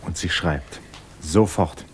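A man narrating a literary 1950s radio drama in an expressive, dramatic storytelling manner. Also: sits low, takes it slowly, whispered. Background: violin music.

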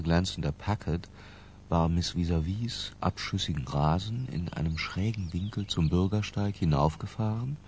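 A cultured, brooding memoirist narrates a radio drama in ornate first person. The voice is very low and the delivery measured and detached, with a faint rumble behind. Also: male voice, a little mellow.

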